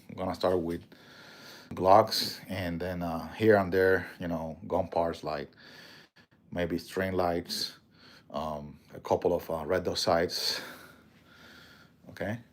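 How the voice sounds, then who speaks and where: A man with a strong Puerto Rican accent hosting a casual livestream, rambling, swearing freely and answering viewer comments. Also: deep voice. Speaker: livestream host.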